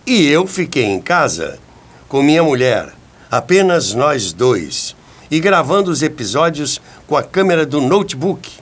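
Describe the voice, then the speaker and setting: A man's voice: fairly high pitch, sing-song, a little slow. An older Brazilian man presenting scripted narration in a dramatic, emphatic storytelling manner.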